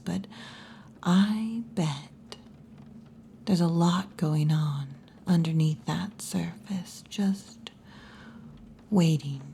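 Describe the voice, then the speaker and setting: A wry, muscular tomboy wolf girl bartender, played by a woman in an ASMR roleplay. She teases in a low, mellow, breathy near-whisper and takes her time, with very long, slow phrasing. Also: slight background noise. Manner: slow, unhurried, wry and teasing.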